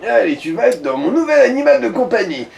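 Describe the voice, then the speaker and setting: A man's voice: sits high, sing-song, brisk, vocal fry, a little mellow. A man giving colloquial, slangy commentary as co-host of a gaming session. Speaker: let's play co-host. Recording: distant mic, faint background noise.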